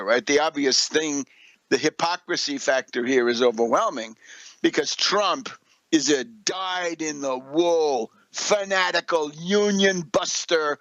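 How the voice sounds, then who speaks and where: A male radio host delivers an off-the-cuff political monologue in a fairly high voice. He is sardonic and scornful, repeating his points for emphasis and throwing out rhetorical questions. The sound is very dry, with no room echo. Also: a bit bright. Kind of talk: unscripted political commentary monologue.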